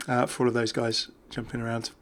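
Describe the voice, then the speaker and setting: Bright, chatty male gaming commentator. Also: mid-range voice, completely flat delivery, brisk, a little hiss or hum. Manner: chatty, jokey, rambling. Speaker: gaming YouTuber. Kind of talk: casual off-the-cuff game commentary.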